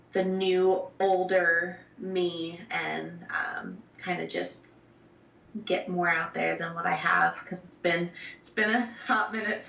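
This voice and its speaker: A woman's voice: lowish voice, creaky, bright, forceful tone. A young woman from New Jersey talking as a musician guest, casual and upbeat with lots of fillers. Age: young adult. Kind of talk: remote interview. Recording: echoey distant lo-fi call audio.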